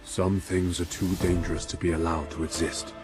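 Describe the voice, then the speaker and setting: A man playing a solemn war veteran, delivering scripted dramatic narration of a grim space chronicle in a measured, theatrical way. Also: sits low, flat delivery, close to an everyday voice. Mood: somber, reflective. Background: loud music.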